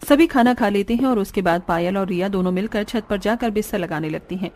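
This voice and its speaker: A woman's voice: medium pitch, a little fast, darkish tone. A woman reading scripted narration for a cartoon story.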